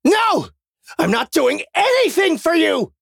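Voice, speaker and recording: Guy acting out desperate trapped hero in an audiobook, sweary, pleading, yelling way up high. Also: very animated, very slow, gravelly, husky, dry recording, studio quality, exaggerated voice. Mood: furious. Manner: shouting, pleading. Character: Adam, desperate, panicking, foul-mouthed trapped protagonist.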